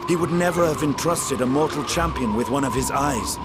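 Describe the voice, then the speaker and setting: Man doing a grim hardened warrior, low and steady, serious game cutscene, loud score underneath. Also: heavy background noise, natural voice. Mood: earnest. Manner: measured, grave, brooding. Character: bitter, battle-hardened male dragoon. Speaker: dragoon warrior character. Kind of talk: video game cutscene acting. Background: loud orchestral music.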